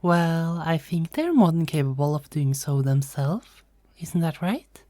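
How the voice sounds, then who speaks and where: A woman's voice: low-pitched, animated, a little slow, dark tone, dry recording. A woman playing a teasing, kindly Norse goddess in a conversational fantasy audio roleplay. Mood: friendly. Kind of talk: fantasy ASMR roleplay acting.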